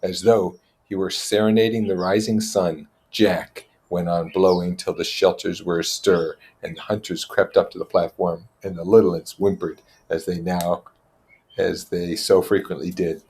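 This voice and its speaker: A man narrating a novel aloud as an expressive reader, with plain explanatory comments. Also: deep voice, moderate pace.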